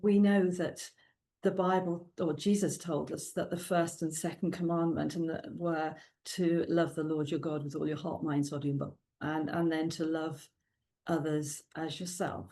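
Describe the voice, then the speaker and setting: A woman's voice: deep voice, level tone, normal speed. A middle-aged woman from South Wales with a Welsh accent, a native Welsh speaker, chatting as a guest in an interview, with frequent ums and laughs. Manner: warm, chatty, laughs often, many ums. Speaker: guest author. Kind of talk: casual interview chat.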